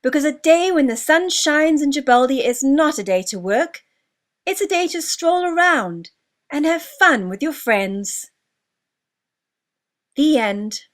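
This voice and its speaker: A woman reads a children's story in a high voice at a slow, measured pace. Her intonation swings up and down expressively, and she places deliberate emphasis on key words. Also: animated. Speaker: author narrating own children's story. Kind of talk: scripted children's story narration.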